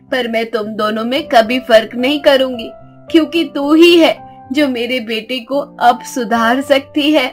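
A woman voicing a fair-minded, protective older mother-in-law who speaks earnestly in a moral story. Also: high voice. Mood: reassuring. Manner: admonishing, earnest.

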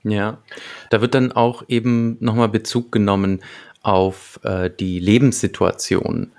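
Man in his thirties, lowish voice, gravelly fry, thoughtful analytical podcast talk, hesitant phrasing. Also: little pitch movement, unhurried, darkish tone. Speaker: podcast co-host. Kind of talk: casual analytical discussion. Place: small book-lined home room, indoors, remote video call. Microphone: large desk microphone close up, with headphones.

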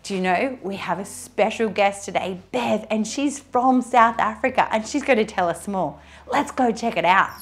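A young woman presenting a preschool lesson, bubbly and energetic as she leads children in a song. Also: mid-range voice, moderate pace, hardly any pauses. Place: indoor studio in front of a pink backdrop.

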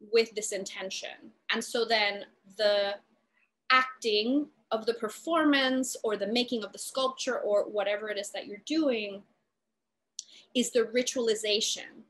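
A woman's voice: on the high side, a bit bright. A woman in her thirties from Puerto Rico, giving a lecture over a video call from a room at home, talking thoughtfully with lots of "like" fillers. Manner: thoughtful, gestures a lot, many "like" fillers. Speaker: artist lecturer. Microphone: laptop webcam, video call.

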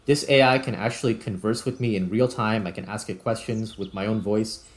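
A man giving casual, informal let's-play commentary, thinking aloud as he hosts a gaming video. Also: medium pitch. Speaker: host of a gaming series. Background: background music.

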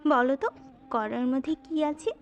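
A woman's voice: high voice, moderate pace, muffled recording. A woman playing a hot-tempered, possessive but secretly loving young wife in a romantic audio story, with a scolding, coquettish manner. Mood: angry, threatening. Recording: music under the voice.

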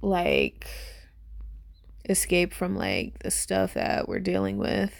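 A woman in her twenties giving a casual, unscripted review in a rambling, informal way, with lots of fillers and heavy vocal fry. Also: fairly low pitch, wildly swooping pitch, slow pace. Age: 20s. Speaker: YouTuber reviewing a webtoon. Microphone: voice-over on a phone screen recording.

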